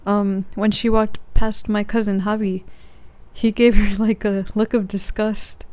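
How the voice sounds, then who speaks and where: A soft-spoken woman with a medium-pitched voice talks casually into her own podcast. She recounts her dreams in a gentle, unhurried monologue. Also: little pitch movement, no echo. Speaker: podcast host.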